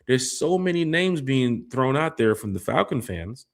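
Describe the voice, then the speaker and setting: A man's voice: mid-range voice, very animated, moderate pace. A man co-hosting a casual sports podcast, talking in a laid-back, joking drawl. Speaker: podcast co-host.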